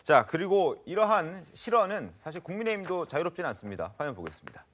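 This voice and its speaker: Male news talk host, animated pitch. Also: fast talker. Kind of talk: broadcast news presenting and questioning panelists. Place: TV studio.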